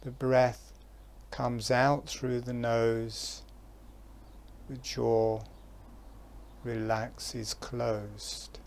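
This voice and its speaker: A man giving guided relaxation instructions in a soft, calm voice, with long pauses between phrases. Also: mid-range voice, creaky, a little hiss or hum.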